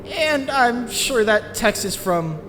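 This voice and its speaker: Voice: medium pitch, very animated, slow, noisy background. An actor playing an anxious, driven teenage boy who narrates his own story intensely in a dramatic comic dub. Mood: horrified.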